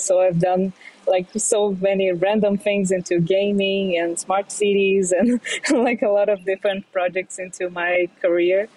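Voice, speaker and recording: Soft-spoken woman from Brazil, low and mellow. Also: low-quality recording. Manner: halting, many fillers and restarts, soft laughs. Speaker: guest, fintech entrepreneur. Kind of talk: interview answers.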